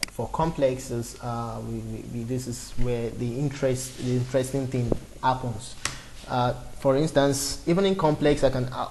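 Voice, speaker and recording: A man's voice: mid-range voice, creaky, darkish tone, a little hiss or hum, poor audio. A man in his twenties teaching a beginner programming lesson off the cuff, talking through each step with fillers and restarts. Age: 20s. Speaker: programming tutor. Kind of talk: screen-recorded coding lesson.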